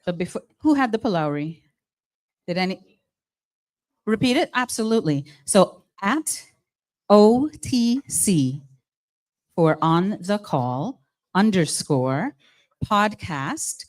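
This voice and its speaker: A woman from Guyana with a Guyanese accent, hosting a live charity evening as mistress of ceremonies and coaxing the crowd. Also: fairly low pitch. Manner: lively, coaxing the audience.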